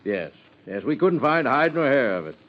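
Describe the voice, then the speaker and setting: An actor playing a folksy, plain-spoken small-town amateur sheriff in a 1950s radio drama, rambling in an unhurried way. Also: male voice, mid-range voice, very animated, natural voice. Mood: folksy, puzzled. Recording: muffled 1950s radio recording.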